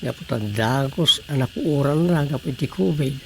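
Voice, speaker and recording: A man's voice: medium pitch, moderate pace, vocal fry, fairly bright tone, slight background noise. A man acting in an Ilocano radio drama as a chatty, reflective village man, with an Ilocano Filipino accent. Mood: somber, reflective.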